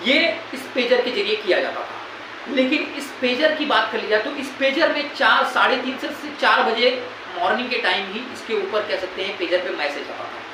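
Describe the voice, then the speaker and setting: A woman's voice: a little high, expressive, normal speed. A woman with an Indian accent presenting an informal current-affairs explainer in an explanatory, repetitive way. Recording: very echoey room, loud background noise.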